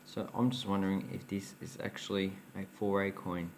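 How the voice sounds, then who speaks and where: Male hobby host, lowish voice, faint noise behind, chatty show-and-tell. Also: level tone, moderate pace. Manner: chatty, explains while handling coins, laughs at his own slips. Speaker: coin collecting channel host. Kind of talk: casual show-and-tell vlog.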